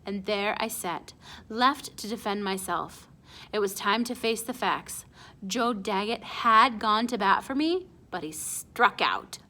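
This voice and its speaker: A woman reading a children's chapter book aloud in an animated storytelling style. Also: medium pitch, a little slow.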